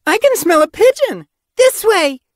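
A narrator voicing a rash, excitable young female cat apprentice who talks in bouncy, indignant bursts for an animal storybook. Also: very high-pitched, normal speed, no echo, studio quality, pitched above the performer's own voice. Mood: excited.

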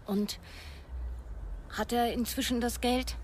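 A woman's voice: a little high, fairly even intonation, takes it slowly, a little hiss or hum. An actress playing an eager, inquisitive writer in her thirties, questioning and hesitant in radio drama dialogue. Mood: astonished, probing. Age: 30s.

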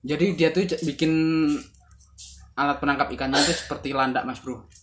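A man's voice: mid-range voice, fairly even intonation, a little fast. A young man in his twenties giving casual, laid-back reaction commentary in a small room, close to a phone camera, constantly addressing his viewers as bro. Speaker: reaction video host. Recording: loud background noise.

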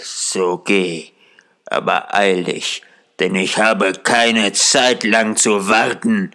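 A man voicing a menacing, commanding, murderous husband in a fairy tale, speaking slowly and threateningly. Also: lowish voice, steady tone, creaky, sharp tone, exaggerated voice. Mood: grim. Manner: slow, threatening, angry.

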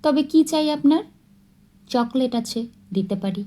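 A woman's voice: high voice, expressive, measured pace, mellow, pitched above the performer's own voice. A woman voicing a shy, playful young woman being courted, coy and polite, in an audiobook story.

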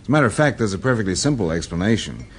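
An actor playing a polite, weary American husband in a radio drama, speaking in a measured, courteous way. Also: male voice, on the low side, big pitch swings, slightly rough. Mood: earnest. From United States. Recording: noisy radio drama recording with ambience.

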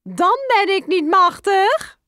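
Storybook cloud, high and indignant, dry close read; woman voicing. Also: animated, slow pace, pushed and bright, no echo, heavily acted. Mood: exclaiming. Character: loud high male cloud.

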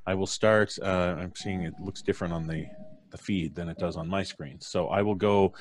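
A middle-aged man hosting a casual live stream, jovial and halting as he makes introductions. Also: deep voice, steady tone, a little hiss or hum. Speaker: podcast host. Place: home studio, video call. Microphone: studio mic on boom arm.

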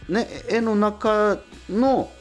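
A man hosting a radio show in casual chat, phrasing things in a halting, repetitive way. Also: high voice. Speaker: radio host. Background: faint music bed.

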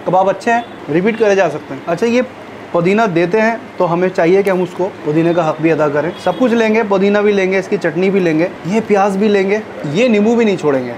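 A man's voice: sits high, fairly quick, darkish tone, a little hiss or hum. A middle-aged man chatting enthusiastically as he tastes food on a casual vlog, speaking into a lapel mic in a small eatery. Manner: enthusiastic, chatty, tasting and commenting. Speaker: food vlogger. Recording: background music under voice.

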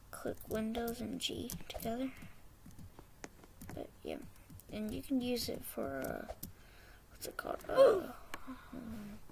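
Teen girl mumbling over her game, hoarse whispery voice, super gravelly, slow and draggy. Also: on the high side, noisy background. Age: teens. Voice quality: quiet, hoarse, half-whispered. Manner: rambling, hesitant, mumbling. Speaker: gamer. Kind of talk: casual gameplay commentary. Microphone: poor-quality computer mic.

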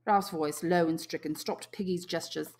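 A woman's voice: on the low side, fairly even intonation, normal speed, a little mellow. A woman reading a novel aloud as scripted audiobook narration. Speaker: English teacher, audiobook reader.

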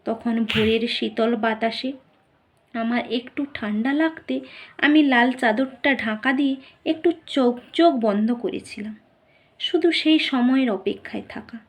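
The ghost of a murdered young woman doctor, voiced by a woman, grieves and pleads with her mother. Her voice sits high and moves slowly and tearfully, often sinking into a whisper. It is a dramatic first-person monologue. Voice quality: slow, tearful, breaking into whispers.

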